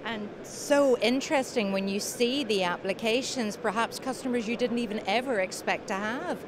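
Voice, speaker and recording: A woman in her forties interviewing at a trade show booth, asking brisk, friendly questions into a handheld mic. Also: fairly high pitch, noisy background. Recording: crowded trade show hall.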